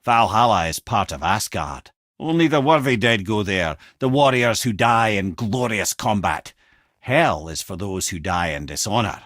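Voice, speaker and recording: A man's voice: on the low side, lively intonation, unhurried, fairly bright tone, no room sound, studio quality. An actor voicing a witty, learned old male sage and storyteller in a video game, with a Scottish accent and a wry, erudite way of talking. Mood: grand storytelling.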